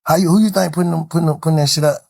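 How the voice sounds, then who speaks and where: Husky man interviewing at a quick pace. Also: a little high, steady tone, a little mellow, no room sound, clean studio sound. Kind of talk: casual conversational questioning.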